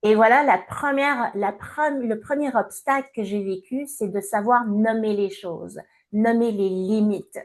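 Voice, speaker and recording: A woman's voice: medium pitch, poor audio. Hesitant Québécois woman in her fifties. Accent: Québécois French. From Montreal, Canada. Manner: measured, many hesitations. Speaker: guest, entrepreneur and coach. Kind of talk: interview answers.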